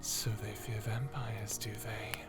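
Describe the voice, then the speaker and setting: A woman's voice: extremely low pitch, flat delivery, takes it slowly, faint music behind, pitched below the performer's own voice, heavily acted. A woman voicing an edgy, sly male vampire in a character audio roleplay, with a smug, drawling, sarcastic manner. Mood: sly, teasing.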